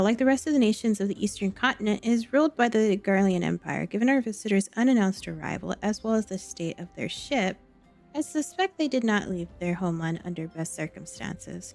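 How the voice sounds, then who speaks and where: A woman's voice: medium pitch, a little mellow, natural voice. A woman voicing a formal, self-assured diplomat from a fantasy game, reading the dialogue aloud and sometimes stumbling on words. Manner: formal wording, halting over words.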